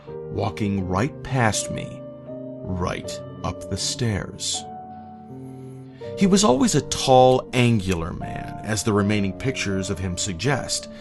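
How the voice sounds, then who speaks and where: A man reading a scripted first-person horror story in a measured, solemn way. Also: on the low side. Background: eerie background music.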